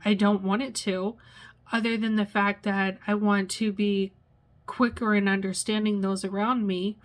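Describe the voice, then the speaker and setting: A woman in her sixties sharing a personal vlog, pausing often and searching for words as she talks. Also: medium pitch, flat delivery. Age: 60s. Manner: halting, searches for words, many pauses and fillers. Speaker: vlogger.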